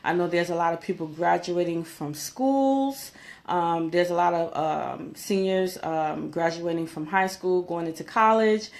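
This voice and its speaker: Woman with a lowish voice giving informal advice. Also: measured pace, gravelly. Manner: conversational, emphatic, checks in with "okay?". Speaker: career coach giving job interview tips. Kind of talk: informal advice vlog, talking to viewers.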